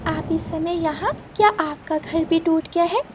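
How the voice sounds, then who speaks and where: A woman plays a gentle female sparrow in a children's cartoon. She is composed and helpful, speaking softly and very high over faint noise. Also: a little slow. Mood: calm. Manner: soft, composed.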